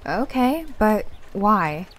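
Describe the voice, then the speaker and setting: Casting: actress as young female necromancer for a visual novel, sharp, wry, skeptical, medium pitch. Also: big pitch swings, very slow. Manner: sardonic, probing.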